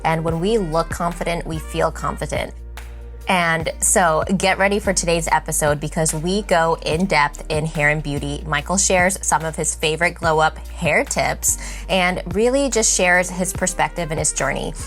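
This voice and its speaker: A woman's voice: sits low, normal speed, a bit bright, faint music behind. A woman hosting a podcast as a doctor, introducing and interviewing guests in casual, conversational wording. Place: virtual recording for a podcast.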